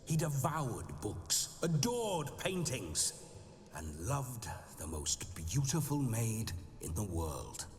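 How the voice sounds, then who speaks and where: A man's voice: mid-range voice, sing-song, takes it slowly. An actor playing the jovial, boastful ghost of a hard-drinking nobleman brawler in a video game. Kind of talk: video game cutscene acting. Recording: game audio with reverb and music.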